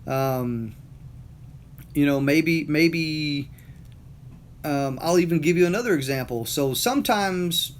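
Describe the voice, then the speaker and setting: A man's voice: a little high, takes it slowly, pauses often, a little hiss or hum. A middle-aged man with a Southern US accent, talking casually and motivationally, rambling with many fillers and repeated words. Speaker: motivational talker. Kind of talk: casual monologue.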